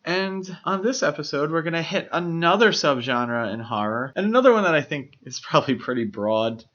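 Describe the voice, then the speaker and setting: A man's voice: on the high side, lively intonation, fairly quick, a bit bright. A man co-hosting a podcast, talking through films in a casual, explanatory way with frequent filler phrases. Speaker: podcast co-host. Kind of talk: casual film discussion. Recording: dull, lo-fi podcast audio.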